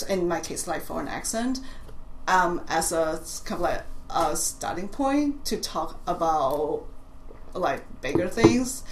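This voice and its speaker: Woman, 40s, Cantonese accent with British and American traces, hesitant, echoey room recording. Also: on the low side. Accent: Cantonese with British and American English traces. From Hong Kong. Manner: hesitant, many restarts and fillers. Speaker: artist guest. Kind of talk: conversational interview. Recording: echoey room, faint noise.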